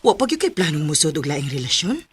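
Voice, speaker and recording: A woman playing a gentle, concerned middle-aged mother in a radio drama, husky, with a Cebuano Filipino accent. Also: deep voice, very animated, fast talker, slight background noise.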